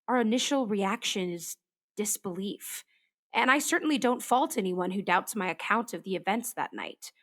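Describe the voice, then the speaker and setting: A woman's voice: medium pitch, fairly bright tone, natural voice. A woman acting as an earnest, kindly television meteorologist in a naturalistic radio play, speaking articulately. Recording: faint background noise.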